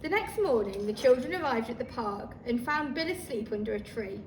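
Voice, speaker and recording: A woman reading a children's story aloud like a teacher, expressive and talking listeners through the pictures. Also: fairly high pitch, moderate pace. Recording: roomy, distant microphone, faint noise.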